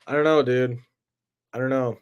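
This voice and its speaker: A man's voice: medium pitch, fairly even intonation, normal speed, clear, resonant tone, dull audio. A young man with a Chicago accent, co-hosting a podcast in casual sports chat, laid-back and swearing freely. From Chicago area. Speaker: podcast co-host.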